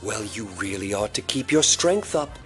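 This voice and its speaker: Audio drama role: polite, sinister agent, coolly menacing and smooth, music underneath. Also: male voice, lowish voice, sing-song, moderate pace. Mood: politely menacing.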